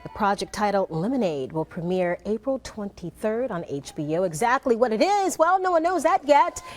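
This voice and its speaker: A woman's voice: medium pitch, very animated, moderate pace. A woman anchor presenting entertainment news, with casual asides between items.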